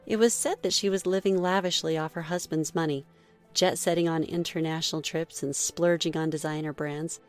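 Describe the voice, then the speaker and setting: A woman reading a scripted first-person story in a measured read-aloud manner. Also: deep voice, steady tone, soft background music. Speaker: story narrator.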